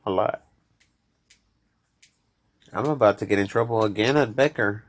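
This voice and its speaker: A man's voice: fairly low pitch, normal speed. A man with a Mexican accent, chatting casually on his show and rambling to listeners. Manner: rambling, addresses listeners directly. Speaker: podcast host. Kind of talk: casual chat.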